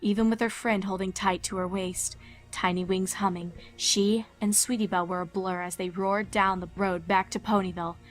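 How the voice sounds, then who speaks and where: Female narrator for dramatic scripted horror fiction, mid-range pitch, soft music underneath. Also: fairly even intonation, moderate pace.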